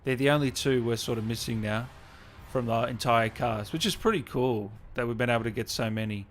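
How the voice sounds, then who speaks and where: A man's voice: mid-range voice, a little fast. Guy hosting a livestream, matey and hyped, chatting to viewers, bit of room noise. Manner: enthusiastic, matey, informal. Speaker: live stream host. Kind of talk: casual live stream chat, reading out viewer comments and thanks.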